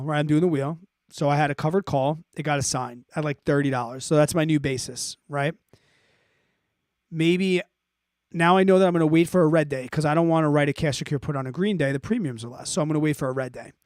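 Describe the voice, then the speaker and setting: A man's voice: on the high side, no room sound, clean studio sound. A man hosting an investing live stream, explaining off the cuff in an informal, blunt way, thinking aloud and checking in as he answers viewer questions.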